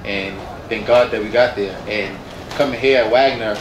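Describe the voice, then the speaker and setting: A man's voice: lowish voice, fairly even intonation, normal speed. A man in his early twenties, a college football player answering at a press conference, repeating phrases for emphasis. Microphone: handheld microphone. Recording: roomy, distant mic, noisy background.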